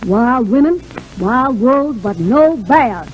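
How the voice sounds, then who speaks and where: A woman's voice: fairly high pitch, animated, takes it slowly. A woman playing a sassy, blunt theater maid with folksy speech in a 1940s radio drama. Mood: blunt, unimpressed. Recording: dull, noisy old radio recording.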